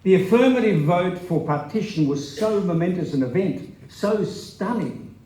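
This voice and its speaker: Higher-voiced man, echoey hall. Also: animated, moderate pace, mellow. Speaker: performer in a dramatic reading. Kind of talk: scripted dramatic reading of a memoir. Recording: echoey live hall recording.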